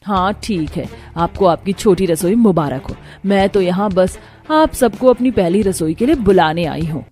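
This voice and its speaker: A woman's voice: lowish voice, expressive, normal speed, a little mellow, faint music behind, natural voice. A woman playing a haughty, spoiled rich young daughter-in-law in a cartoon story, boastful and condescending. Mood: mocking.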